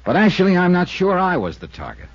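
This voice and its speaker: A wry radio-drama investigator. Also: male voice, sits high, huge pitch swings, fast, no echo, full character voice. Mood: guarded. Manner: dry, wry, matter-of-fact narration. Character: wisecracking male insurance investigator and narrator. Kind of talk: 1950s radio drama narration. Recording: dull old radio transcription.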